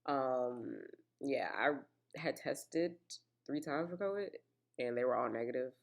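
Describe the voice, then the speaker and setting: A woman's voice: a bass voice, animated, measured pace, gravelly. A woman hosting her own podcast in a casual, chatty way, full of asides to listeners and still a little congested. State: slightly congested with a cough. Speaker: podcast host. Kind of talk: casual solo podcast chat.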